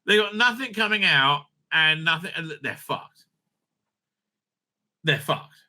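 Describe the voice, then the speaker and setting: A man giving unscripted, profane, opinionated commentary as a video host, stammering and repeating himself in an excitable way. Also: a little high, slow pace.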